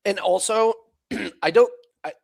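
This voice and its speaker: A man's voice: high voice, measured pace, creaky. An American man co-hosting a casual live darts chat, laughing often and calling the scores play by play. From United States. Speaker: co-host calling darts bets.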